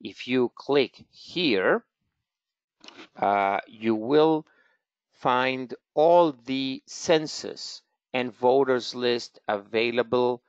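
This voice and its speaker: Slow-spoken man with a Venezuelan accent. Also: mid-range voice, smooth, dry recording. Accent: Venezuelan Spanish. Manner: slow, careful, with many "uh" fillers. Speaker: genealogy expert hosting a webinar. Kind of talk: live webinar with screen-share walkthrough. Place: office in Israel. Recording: dull, slightly static home mic.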